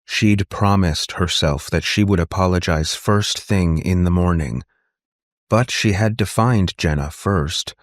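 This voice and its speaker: A man narrating a scripted story as an audiobook, in a measured, deliberate and dramatic way. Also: deep voice, no echo, clean studio sound.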